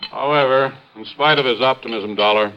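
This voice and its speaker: An actor playing a grave, domineering mine boss in a 1950s radio drama, formal and emphatic. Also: male voice, medium pitch, fairly quick, natural voice. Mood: gloomy, troubled. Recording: muffled vintage radio recording.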